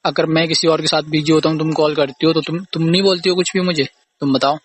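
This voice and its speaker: A man's voice: a little high, level tone, fast, slight background noise. A young man arguing casually in an accusing, repetitive, aggrieved way on a phone call recording. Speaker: boyfriend or husband on a phone call. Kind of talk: casual argumentative phone conversation.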